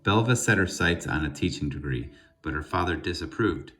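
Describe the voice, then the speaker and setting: A man with a low voice reads a children's storybook aloud. His delivery is expressive and deliberate, leaning on key words with short pauses. Faint music plays behind him. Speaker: school principal reading a children's book aloud.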